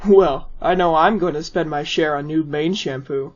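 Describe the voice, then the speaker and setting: Guy doing a preening macho monster bully, bit high, cartoon dub, muffled with music. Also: normal speed, close to an everyday voice. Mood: smug. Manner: boastful, preening. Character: vain, swaggering muscular horse-merman monster bully. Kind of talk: animated comic dub acting. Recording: muffled lo-fi audio under music.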